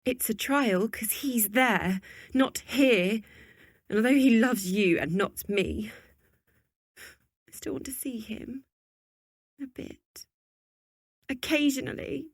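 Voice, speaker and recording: A woman acting a lovelorn, hesitant young sister with a husky, halting delivery in an amateur period radio drama. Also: a little high, animated, dry recording, clean studio sound, heavily acted. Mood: exasperated.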